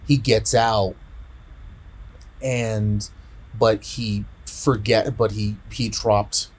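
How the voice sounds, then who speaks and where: A man in casual podcast chat, retelling a story in a rambling, halting way and often repeating words. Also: lowish voice, steady tone. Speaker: podcast co-host.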